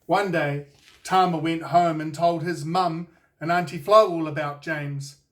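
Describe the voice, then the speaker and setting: A man with a New Zealand accent reading a children's storybook aloud in a deliberate, expressive storytelling way. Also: on the high side, far from the mic. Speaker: children's author reading his own book. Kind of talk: scripted storybook narration for children.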